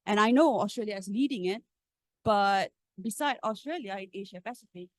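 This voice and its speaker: A woman with a Malaysian accent speaks up from the audience, explaining her point at length in an earnest, slightly hesitant way. Her voice is mid-pitched, and the sound is dry with no reverb. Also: lively intonation, moderate pace. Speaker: audience member. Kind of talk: long comment in a Q&A.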